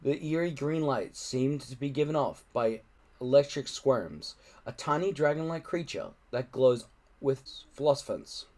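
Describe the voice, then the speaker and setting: A man reading a children's adventure book aloud in an expressive narrating style. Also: mid-range voice, unhurried. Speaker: audiobook-style reader.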